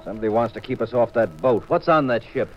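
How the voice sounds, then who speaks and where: Actor as unruffled radio detective, muffled vintage recording. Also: male voice, mid-range voice, lively intonation, fast, lightly acted. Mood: brisk, probing. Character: unruffled, wry male private detective and husband. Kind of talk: 1950s radio drama acting. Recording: dull old radio recording, crowd noise.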